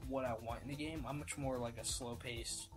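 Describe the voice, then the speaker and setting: A man's voice: mid-range voice, steady tone, a little fast, music in the background. A young man hosting a casual podcast chat, rambling and laughing, swearing freely. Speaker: podcast host.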